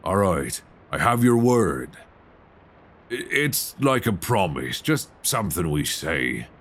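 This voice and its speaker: Old lighthouse keeper, male actor, horror audio drama: low, swinging intonation, folksy, weary and sly, music underneath. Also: big pitch swings, takes it slowly, fairly bright tone, exaggerated voice. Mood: kindly, coaxing. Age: older. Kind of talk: suspense horror audio roleplay acting. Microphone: close binaural microphone.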